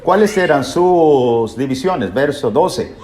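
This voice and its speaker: A man's voice: a little high, animated, slow pace, a little mellow. A man preaching a Bible lesson in an emphatic, didactic way, posing rhetorical questions and repeating key phrases. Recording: echoey room, faint background noise.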